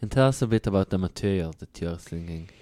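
A man's voice: deep voice, expressive, fast talker, warm tone, dry recording. A man interviewing a guest, asking short prompting questions.

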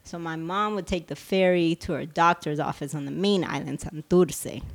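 A woman from Puerto Rico, raised in the US, co-hosting a casual podcast chat in a lively, slangy way. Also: deep voice. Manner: lively, slangy, quick asides. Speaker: podcast co-host.

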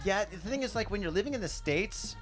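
A man from the Detroit area talks in an excitable, profane rush, dropping "dude" into nearly every sentence. His voice sits a little high for a man. Faint music hums behind him. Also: brisk. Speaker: podcast guest. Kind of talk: casual interview chat.

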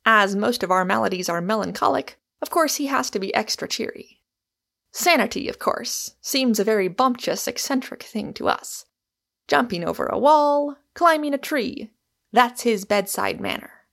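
A woman voicing a sardonic, bitter Irishman in audiobook dialogue, with calm, deliberate irony. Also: a little high, creaky, heavily acted. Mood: mock-soothing, ironic. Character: gloomy, sardonic Irishman, quiet, bitter and ironical.

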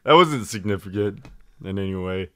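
A man's voice: sits low, lively intonation, normal speed. A young man co-hosting a podcast, joking around with mumbled asides. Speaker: podcast co-host. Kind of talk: casual chat.

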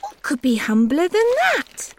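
A woman's voice: high voice, huge pitch swings, measured pace, slight background noise, exaggerated voice. A woman playing a clever, cheeky schoolgirl in a children's audiobook, polite with sly sarcasm. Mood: sarcastic. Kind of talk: children's audiobook character acting.

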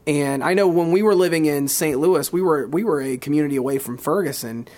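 A man with a somewhat high voice, talking in a casual podcast conversation. He speaks earnestly but haltingly, repeating words as he looks for the right ones. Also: darkish tone. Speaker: podcast co-host.

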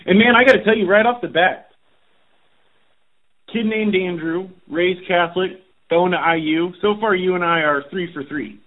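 A man's voice: sits high, normal speed. A man hosting a podcast, interviewing his guest in a friendly, chatty way. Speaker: podcast host. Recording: tinny phone-like line.

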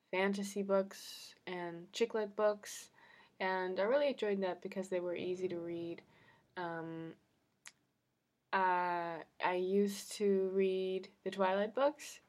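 A woman's voice: medium pitch, a little mellow. A young woman talking casually on her own podcast, rambling with fillers and restarts, slightly congested from a cold. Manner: rambling, chatty, laughs often, many fillers and restarts. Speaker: podcast host. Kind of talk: casual solo podcast chat. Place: her room at home.

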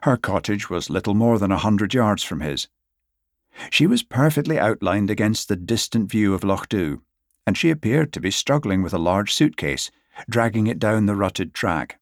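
Audiobook narrator: male, lower register, dry studio-style sound, scripted literary prose. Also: animated, normal speed, no echo.

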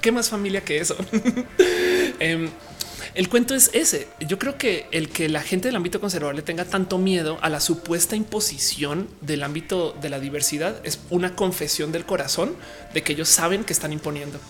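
A woman's voice: lowish voice, normal speed, soft background music. A woman with a Mexican accent, chatting casually on a live stream and reading viewers' comments, upbeat and slangy, laughing often.

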